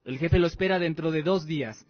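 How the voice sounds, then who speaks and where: Radio drama role: courteous, ceremonious barbarian messenger, high male voice, acted by a man, muffled lo-fi audio. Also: a little fast, natural voice. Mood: formal, measured. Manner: formal, ceremonious, deferential. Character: deferential male envoy of a barbarian nation. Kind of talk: Spanish-language radio drama acting. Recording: dull lo-fi audio.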